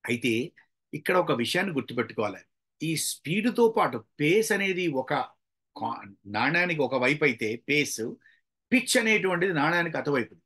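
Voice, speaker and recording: Bright, forceful Indian man teaching. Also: a little high, very animated, normal speed, slightly rough. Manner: emphatic, demonstrative, explains terms step by step. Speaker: public speaking trainer. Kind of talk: instructional talk with acted-out examples.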